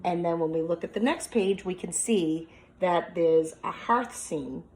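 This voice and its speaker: A woman's voice: on the low side, unhurried, a little mellow, low-quality recording. A woman speaking as a teacher, reading a picture book aloud with commentary and prompting young listeners with questions as if to a class. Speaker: teacher demonstrating a children's read-aloud.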